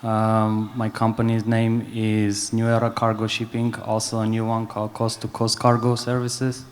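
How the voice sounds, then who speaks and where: A man's voice: lowish voice, completely flat delivery, normal speed. A man from Armenia introducing himself as a business panelist at a conference. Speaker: panelist, shipping business owner.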